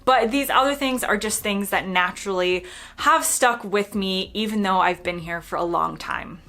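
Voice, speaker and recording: A woman's voice: mid-range voice, moderate pace, a bit bright. A young American woman from Florida, chatting casually and upbeat to camera in her vlog. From United States (Florida). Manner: chatty, upbeat, lots of fillers. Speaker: vlogger.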